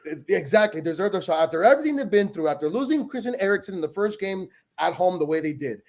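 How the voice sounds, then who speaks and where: A man from Peru talking football in a casual podcast chat, emphatic and animated, often restarting his words. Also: sits high, quick pace. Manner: emphatic, animated, repeats himself when excited. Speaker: podcast panelist.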